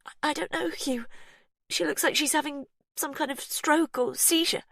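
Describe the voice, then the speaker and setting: A woman's voice: medium pitch, lively intonation, normal speed, no room sound, voice pushed up, lightly acted. Woman doing a tense blunt young woman, urgent clipped orders, dry sound.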